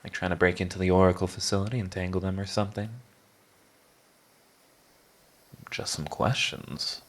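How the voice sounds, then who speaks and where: A man plays a flippant male villain in an ASMR-style audio drama. His voice sits low. He draws out his mockery with sarcastic, theatrical teasing and a prickly, begrudging charm. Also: level tone, lightly acted. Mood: wry impatience. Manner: sardonic, theatrical teasing, drawn-out mocking delivery.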